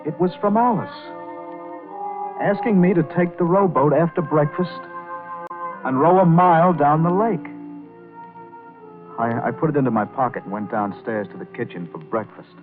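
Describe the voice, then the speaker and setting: An actor playing an earnest, awkward young man with a halting, boyish manner in an old-time radio drama. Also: a little high, animated, lightly acted. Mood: brooding, narrating. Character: earnest, awkward young piano player narrating his story. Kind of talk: 1940s radio drama acting and first-person narration. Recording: tinny old radio recording with music.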